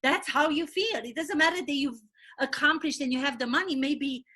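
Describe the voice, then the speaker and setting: A woman hosting an interview, chatting in a gossipy way as an animated storyteller who acts out what others said. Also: high voice, moderate pace. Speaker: interview host.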